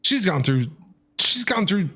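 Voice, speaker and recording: A man's voice: sits high, sing-song, unhurried, sharp tone, breathy edge. A man streaming a game, giving casual, slangy, teasing reaction commentary. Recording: game music behind the voice.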